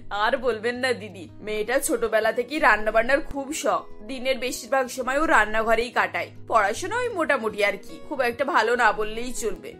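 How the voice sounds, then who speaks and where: A woman's voice: a little high, moderate pace, fairly bright tone, faint music behind, lightly acted. A woman voicing a middle-aged, chatty and exasperated mother in a cartoon. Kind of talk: cartoon acting.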